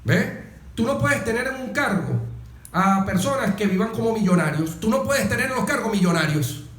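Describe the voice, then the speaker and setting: A Venezuelan man speaks in a clearly high-pitched voice, recorded in an echoey room. He gives political commentary like a teacher, repeating key phrases for emphasis and checking in with short questions. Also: very animated, moderate pace, fairly bright tone. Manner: didactic, emphatic, repetitive, with rhetorical questions and check-in tags. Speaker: interviewed guest.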